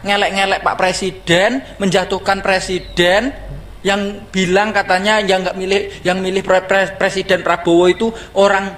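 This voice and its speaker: A man's voice: high-pitched, level tone, moderate pace, fairly bright tone. A man with a Javanese accent, a farmer speaking bluntly and mockingly, asking rhetorical questions and answering them himself. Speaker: farmer in a reacted-to video clip. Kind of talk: blunt scolding monologue to a student activist.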